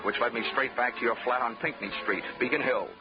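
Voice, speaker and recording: An American actor playing a wry, hard-boiled investigator in a 1940s radio drama, full of dry wisecracks. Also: male voice, medium pitch, a little fast, close to an everyday voice. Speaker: detective narrator and lead character. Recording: dull 1940s radio recording with music.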